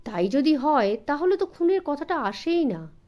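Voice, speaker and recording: A woman reading a suspense story as an audiobook narrator, in an expressive scripted style suited to children. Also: sits high, moderate pace, lo-fi sound.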